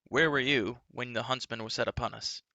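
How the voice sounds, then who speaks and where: A man voicing an earnest, hot-tempered young man who speaks up loudly and accusingly. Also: medium pitch, lively intonation, normal speed, dry recording. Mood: angry. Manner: loud, accusing, indignant.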